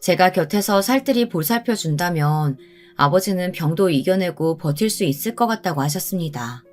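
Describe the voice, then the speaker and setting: A woman's voice: fairly low pitch, a little mellow. A woman reading a scripted first-person story as its narrator.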